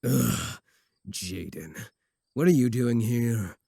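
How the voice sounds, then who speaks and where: A man's voice: mid-range voice, lively intonation, slow pace, gravelly, mellow, no echo, clean studio sound, heavily acted. A man voicing a possessive, boastful werewolf in a comedic ASMR roleplay, sardonic and taunting, doting on his sweetheart.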